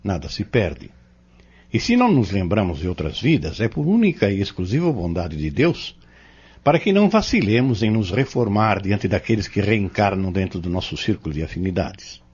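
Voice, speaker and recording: A man with a Brazilian accent playing a calm, kindly pharmacist who teaches young men in a measured, solemn way in an audio drama. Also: lowish voice, husky, lo-fi sound. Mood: solemn, teaching.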